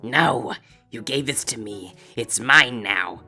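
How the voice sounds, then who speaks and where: Man doing a greedy, excitable young griffin, wildly swooping. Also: medium pitch, slow, faint music behind, pitched above the performer's own voice, full character voice. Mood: possessive fury. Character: greedy, dim but excitable young male griffin student. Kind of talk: character voice in a fan fiction reading.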